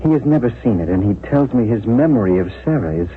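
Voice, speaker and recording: An actor playing a polite, earnest nephew in a 1970s radio drama, speaking and narrating in a courteous, measured way. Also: male voice, medium pitch, lively intonation, slightly rough. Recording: dull, faintly hissy 1970s radio recording.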